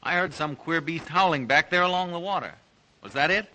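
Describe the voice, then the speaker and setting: A curious, courteous hunter in an old melodrama. His voice sits a little high and sounds bright. He speaks plainly, with period film delivery. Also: a little fast, rough recording. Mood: puzzled. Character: plain-spoken, curious male big game hunter. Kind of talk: 1930s film-era drama acting.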